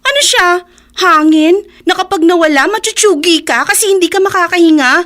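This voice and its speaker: A woman's voice: extremely high pitch, lively intonation, a little fast, fairly bright tone. An actress playing a blunt, sarcastic teenage schoolgirl who scolds her friend in slangy Filipino-accented speech for a radio drama. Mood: exasperated.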